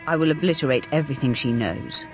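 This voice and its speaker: Haughty, low-voiced female Sith warrior. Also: quick pace, reverberant, faint music behind. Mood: cold, haughty. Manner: haughty, curt. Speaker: voice actor in a video game. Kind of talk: dramatic scripted game dialogue.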